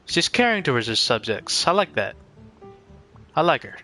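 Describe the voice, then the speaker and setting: A man's voice: fairly high pitch, very animated, moderate pace, bright, forceful tone, faint music behind. A man giving casual, rambling live commentary as he streams a game.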